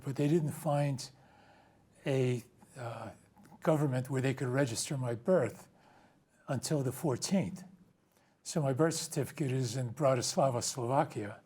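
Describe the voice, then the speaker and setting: A man's voice: medium pitch, dark tone, slightly rough. A man in his eighties whose first language is Ukrainian. He is a guest in a casual interview, telling his story in a rambling way and often restarting and correcting himself. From United States. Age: 80s.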